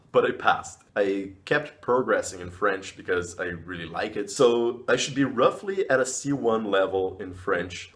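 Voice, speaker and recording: Upbeat man, lowish voice. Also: fairly bright tone. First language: Portuguese. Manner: upbeat and encouraging, pitch jumps up on key words. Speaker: language vlogger. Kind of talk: casual advice talk to camera.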